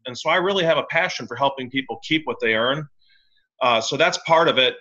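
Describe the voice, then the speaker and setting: A blunt, opinionated man speaks as a guest lawyer in a podcast interview. His voice is bright and forceful, and he comes across as wry. The recording sounds slightly dull and lo-fi. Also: medium pitch, brisk. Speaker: tax and real estate lawyer, guest.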